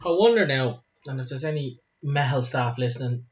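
A man's voice: medium pitch, normal speed. Irish man in casual, muffled podcast chat. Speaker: podcast co-host. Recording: dull lo-fi recording.

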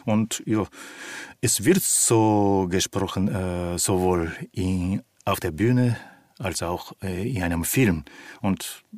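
Deep-voiced Japanese man, calm. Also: slow, no echo, studio quality. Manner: calm, relaxed, hesitant in non-native German. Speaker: actor and opera singer, interview guest. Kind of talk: interview answers.

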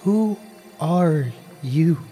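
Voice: medium pitch, lively intonation, extremely slow, warm tone, soft background music. An actor playing an anxious, sleepless customer who stammers and hesitates. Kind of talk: audio drama acting.

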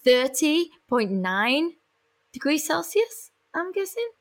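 A young British woman with a fairly high voice co-hosts a relaxed chat. She reads light news items in a playful way and stumbles over place names, giggling as she goes. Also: slow pace. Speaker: co-host. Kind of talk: casual chat and news reading.

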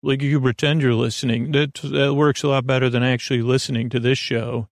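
Gravelly-voiced man rambling at bedtime. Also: mid-range voice, little pitch movement, no room sound, studio quality. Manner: meandering, halting, repeats and trails off. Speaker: sleep podcast host.

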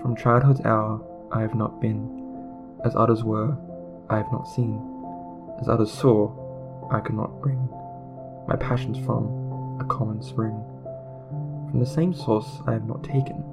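A man reciting poetry solemnly, in short, deliberate, measured phrases. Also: on the low side, steady tone, gravelly. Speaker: poetry reader. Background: plucked guitar music.

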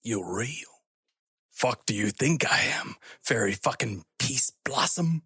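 A man acts out a pissed-off, foul-mouthed outback creature from a spoken story. The voice is husky and snarling, with a shaky put-on accent wandering from Australian to Scottish. It sounds close and dry. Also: mid-range voice, expressive, takes it slowly, bright, forceful tone, no echo, heavily acted. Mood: indignant anger. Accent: wobbly put-on Australian, drifting to Scottish. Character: pissed-off menacing male bat-winged rat-dingo outback monster. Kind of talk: amateur voice acting of a story.

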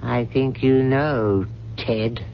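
An actor playing a stern, loyal old family butler in a 1970s radio drama, speaking in a grave, measured, formal way. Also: male voice, medium pitch, steady tone, gravelly. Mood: grave, pointed. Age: older. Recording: muffled, noisy old radio broadcast.

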